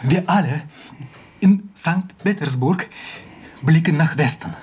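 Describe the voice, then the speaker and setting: An actor playing a young Russian philosophy student, effusive and reverent, in a radio drama. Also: male voice, on the high side, slow, a little mellow, breathy edge, full character voice. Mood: enthusiastic, reverent.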